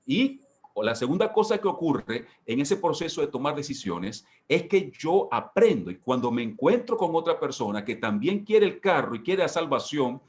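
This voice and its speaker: A man teaching as a pastor in an informal sermon style, emphatic and given to repeating key phrases. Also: fairly high pitch. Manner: repetitive, emphatic, with rhetorical repetition. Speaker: pastor leading a church workshop.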